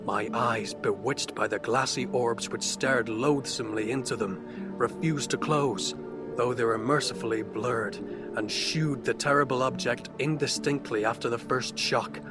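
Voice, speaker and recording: A man's voice: medium pitch, a little slow, close to an everyday voice. A man playing a tormented, reclusive outcast in a horror audio drama, narrating in an ornate, theatrical and intense manner. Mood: agonized dread. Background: dark fantasy ambience music.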